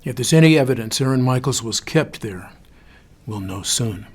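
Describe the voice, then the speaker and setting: An actor playing a firm but supportive agency director in an audio drama, measured and authoritative. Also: male voice, medium pitch, expressive, breathy edge. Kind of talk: audio fiction drama acting.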